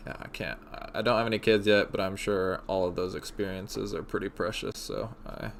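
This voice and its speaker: A man's voice: low-pitched, steady tone, fairly quick, vocal fry, slight background noise. A young man from Indiana hosting a livestream, laid-back and laughing often as he reads chat comments aloud. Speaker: livestream host.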